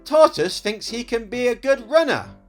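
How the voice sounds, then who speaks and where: A man's voice: extremely high pitch, expressive, normal speed, faint music behind, voice pushed up. A man voicing a boastful, mocking male hare in a children's storybook fable, with a taunting, cocky manner. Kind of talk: storybook read-aloud character voice.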